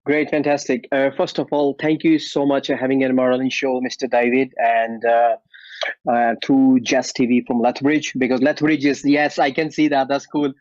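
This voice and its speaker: A man's voice: medium pitch, flat delivery, moderate pace, a little mellow, dry recording, highs cut off. A man from India with an Indian English accent, a martial artist and actor answering interview questions in a friendly, chuckling way with loose grammar. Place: at home after a workout.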